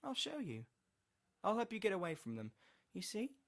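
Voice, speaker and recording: Man as soft, high-voiced sinister coaxing apparition. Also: wildly swooping pitch, moderate pace, creaky, exaggerated voice. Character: tall smiling sinister male apparition, gently coaxing.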